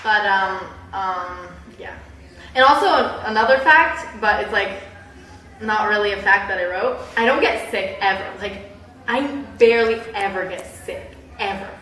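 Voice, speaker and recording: A young woman chatting casually in a personal vlog, with a bubbly, animated, giggly way of exaggerating things. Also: mid-range voice, a little slow. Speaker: vlogger. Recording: roomy echo, distant mic, background hiss.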